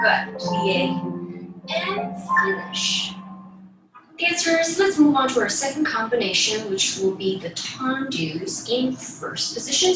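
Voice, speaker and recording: High-voiced woman teaching ballet, echoey room. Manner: encouraging, counting out moves in short cues. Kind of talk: instructing an online dance class. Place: bedroom, indoors. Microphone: camera mic across the room. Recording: echoey room, distant mic, music over voice. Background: music.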